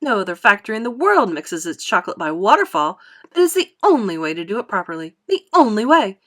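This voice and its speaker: A woman's voice: mid-range voice, very animated, a little fast, a bit bright. A woman voicing an exuberant, boastful, eccentric male factory owner in a storybook, with theatrical, gushing emphasis. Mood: proud, emphatic.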